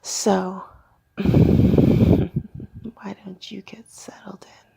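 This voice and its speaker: A woman voicing a creepy, teasing fox girl creature held in containment, whispering slowly in an ASMR horror roleplay. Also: medium pitch, flat delivery, gravelly, full character voice, low-quality recording. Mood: sinister teasing. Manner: slow whispering, sinister teasing.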